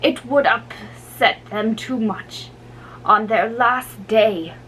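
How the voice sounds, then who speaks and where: A woman voicing a gruff, flustered male theatre manager in a storybook reading, bossy and rough in manner. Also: a little high, takes it slowly, a bit bright, slight background noise, low-quality recording. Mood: gruff, urgent.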